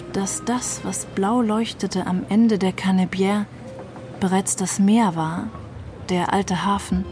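A woman giving a literary reading of a first-person novel. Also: medium pitch. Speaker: reader of literary excerpts. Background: music.

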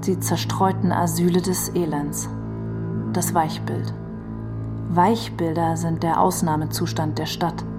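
A woman giving a scripted, expressive reading of literary prose. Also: on the low side. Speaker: reader of literary quotations.

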